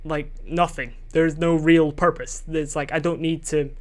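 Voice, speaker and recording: Young adult male solo podcaster, slightly high voice; rambling, self-doubting delivery with casual swearing. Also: little pitch movement, unhurried. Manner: rambling and self-questioning, loses his train of thought. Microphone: desk microphone.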